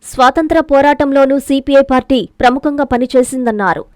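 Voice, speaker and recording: A woman's voice: sits high, fairly quick, no echo, clean studio sound. A woman reading scripted news narration as a news reader.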